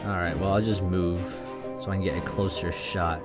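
A man's voice: low-pitched, steady tone, normal speed. A man giving casual gameplay commentary, muttering to himself with mild grumbles while game music plays. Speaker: gaming streamer. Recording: loud game music behind.

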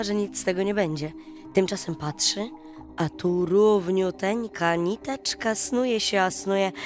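A woman narrating a scripted fairy tale for children at bedtime, in an expressive storytelling manner. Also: medium pitch. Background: music.

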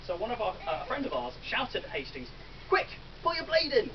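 A man in his thirties with an English accent, giving a best man's toast in a large wedding marquee, with dry jokes and pauses for laughs. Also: high-pitched, wildly swooping pitch, a little hiss or hum. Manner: dry joking delivery with ums and pauses for laughs. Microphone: far camera, no mic. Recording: distant dull camera audio.

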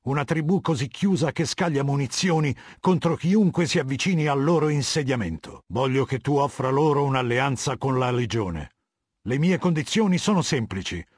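A male actor playing an imperious, menacing middle-aged warlord in a video game, commanding, cold and condescending, with a husky voice. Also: mid-range voice, animated, moderate pace, no room sound, clean studio sound. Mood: measured, imperious. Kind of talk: video game dialogue, Italian dub.

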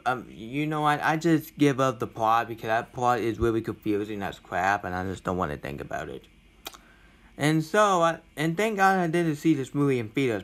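A young man giving an informal movie rant, blunt and complaining, in a halting, repetitive way. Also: a bit bright. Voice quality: self-described deep voice. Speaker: movie reviewer.

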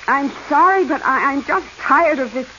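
A woman's voice: sits high, lively intonation, moderate pace, bright, forceful tone. An actress playing a plain-spoken, independent woman adventurer in a 1940s radio drama, frank, breezy and firm. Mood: firm, weary. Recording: noisy, muffled 1940s radio recording.

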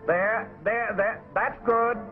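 An American man voicing a brisk, bossy but encouraging cartoon chameleon instructor in a 1940s military training film, with a slightly raspy, folksy delivery. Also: high-pitched, fairly even intonation. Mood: encouraging, directing. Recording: muffled 1940s film soundtrack, faint music.

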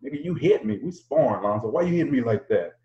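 An aggrieved young sparring partner, heated and complaining, as a man plays him. The voice is pushed higher than the reader's own, in a roomy, lo-fi recording. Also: animated, quick pace, warm tone, close to an everyday voice. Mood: angry.

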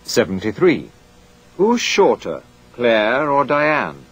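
A man reading a scripted language course drill in a clear, measured way. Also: medium pitch, huge pitch swings, slight background noise.

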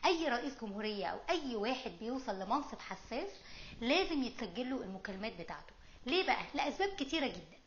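A woman's voice: on the high side, very animated, racing, dull audio, slight background noise. A middle-aged woman with an Egyptian accent giving informal, emphatic explanatory commentary to camera, mixing in English terms. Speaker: host of a political explainer video series.

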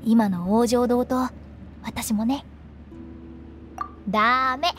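A woman's voice: on the high side, fairly even intonation, drawn-out words, a bit bright, a little hiss or hum. A woman voicing a playful, cryptic young female funeral director in a Japanese anime game, with a teasing, theatrical delivery. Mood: solemn, mysterious, then knowing, cryptic. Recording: studio voice over background music.

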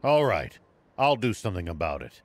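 An actor playing a stern, shrewd old sheriff in a video game, speaking in a measured, musing way. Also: male voice, sits low, sing-song. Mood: confident, commanding. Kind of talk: video game cutscene acting.

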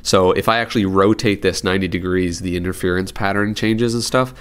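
A man's voice: low voice, fairly even intonation, a little fast, clear, resonant tone. A man giving a casual, unscripted repair demonstration, rambling informally as he thinks aloud. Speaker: radio repair hobbyist and presenter. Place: indoors at a desk in an apartment living room.

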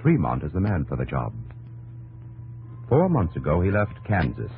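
A grave, portentous narrator in old radio drama. His very low voice is gravelly and clipped. The broadcast sound is narrow and boxy. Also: huge pitch swings, normal speed, exaggerated voice. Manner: terse, portentous. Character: grave male narrator of frontier history. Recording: narrow-band 1950s radio recording, faint hum.

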